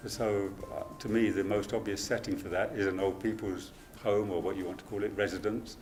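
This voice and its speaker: A man's voice: lowish voice, steady tone, fairly quick, over loud music. An older man from London with an English accent, talking in an interview. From London, England. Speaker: film course student. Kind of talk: interview talk.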